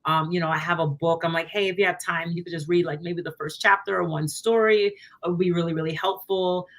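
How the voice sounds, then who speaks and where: A woman author being interviewed over a video call, talking in a chatty, animated way and acting out little quotes of what she told herself and others. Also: on the low side, a little fast. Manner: chatty, animated, many fillers and acted-out quotes. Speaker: author guest. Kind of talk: casual interview chat.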